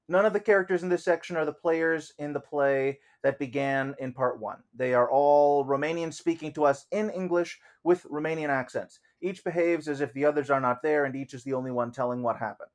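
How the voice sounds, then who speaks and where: A man co-hosting a relaxed discussion of history and a play. His voice sits fairly high, and he talks in a loose, joking way, swearing offhand. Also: normal speed.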